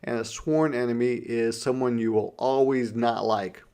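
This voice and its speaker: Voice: medium pitch, fairly even intonation, moderate pace, mellow. A teacher reading a children's story aloud, explaining simply and putting questions to the listener.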